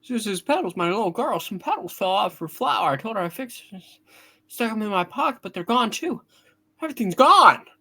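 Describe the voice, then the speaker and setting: A woman voicing a frantic, bewildered, exasperated family man, husky, in a hammed-up amateur play reading. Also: medium pitch, expressive, fairly quick, pushed and bright, exaggerated voice.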